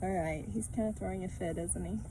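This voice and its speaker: A woman's voice: lowish voice, fairly quick, warm tone, slight background noise. A woman talking casually to children as a Bible class teacher, conversational and often checking in with short tag questions. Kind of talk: casual read-aloud and lesson talk for children.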